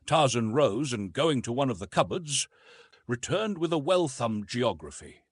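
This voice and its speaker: A man narrating a classic adventure novel as a dramatic, expressive audiobook reading. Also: mid-range voice, unhurried, slightly rough, no echo, studio quality.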